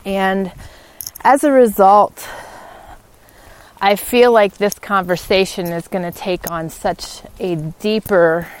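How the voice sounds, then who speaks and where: A woman hosts a casual, reflective podcast while out walking. She speaks slowly in a medium-pitched voice, often halting mid-sentence to find her next thought. The delivery is unscripted and personal. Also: fairly even intonation. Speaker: podcast host. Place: outdoors on a walk, in wind.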